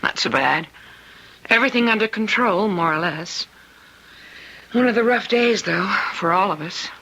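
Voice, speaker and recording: A woman's voice: mid-range voice, animated, moderate pace, bright, forceful tone, slightly rough, full character voice, rough recording. An actress playing a composed woman hospital doctor in a radio drama, with a dry, assured manner. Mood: brisk, reassuring. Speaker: actor.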